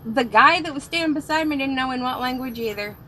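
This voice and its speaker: A woman's voice: high voice, fast talker, pushed and bright, slight background noise. A woman chatting casually and teasingly as a co-driver in a truck cab. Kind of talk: casual chat.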